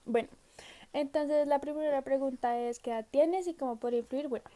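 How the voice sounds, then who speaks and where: A woman's voice: high voice, fairly quick, dark tone. A seventeen-year-old girl who is unwell, answering study questions in a casual video message, often hesitating, trailing off and laughing. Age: teens. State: sick.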